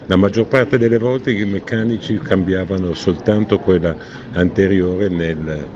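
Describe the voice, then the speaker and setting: A man giving a measured technical voice-over about racing car setup, pausing now and then to correct himself. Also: sits low, fairly even intonation. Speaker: technical analyst. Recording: dull lo-fi voice-over with noticeable background noise.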